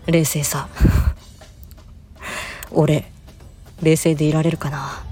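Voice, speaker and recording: A woman's voice: low voice, flat delivery, extremely slow, darkish tone, faint music behind. A woman playing a cool young man with casual boyish speech who is secretly flustered about a date. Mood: unsure, teasing.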